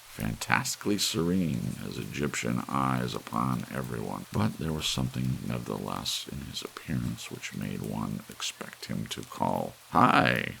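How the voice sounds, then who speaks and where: A man's voice: extremely low pitch, vocal fry, rough recording. A man reading a story aloud as an audiobook narrator, in an ASMR bedtime style. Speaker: audiobook reader. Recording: faint hiss, soft rain sound. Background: soft rain.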